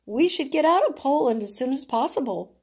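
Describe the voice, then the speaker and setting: A woman voicing an energetic, blunt brother in an audiobook, speaking urgently and emphatically. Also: high voice, brisk, pitched above the performer's own voice. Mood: grim.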